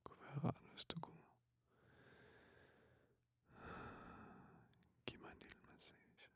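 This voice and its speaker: A man with a Danish accent playing a tender boyfriend in an intimate sleep-aid audio roleplay, murmuring and whispering slowly and soothingly, close to the mic. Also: lightly acted. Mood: teasing, relaxed. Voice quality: breathy whisper, husky murmur. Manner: slow, soothing, hushed, with soft hums. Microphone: very close microphone, dry.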